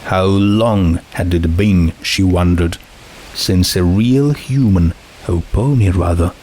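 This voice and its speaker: A man's voice: deep voice, slow, mellow, slight background noise. A man narrating a scripted fiction story as its reader.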